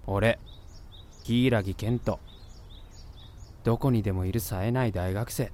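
A woman's voice: a bass voice, slow pace, dark tone. A woman voicing a gloomy, sarcastic male college student in a voice drama, with blunt, slangy, grumbling talk. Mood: weary, self-deprecating. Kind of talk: Japanese voice drama with inner monologue.

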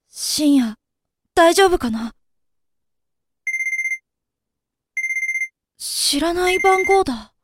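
Very high-voiced, guarded young woman by an actress. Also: background noise. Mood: weary, worried. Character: worried, guarded young woman under surveillance. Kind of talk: Japanese amateur voice drama acting.

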